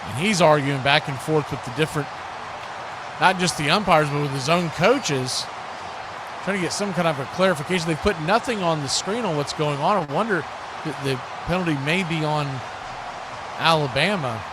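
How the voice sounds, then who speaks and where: A man's voice: on the high side, normal speed, noisy background. A man giving live play-by-play commentary on a football game.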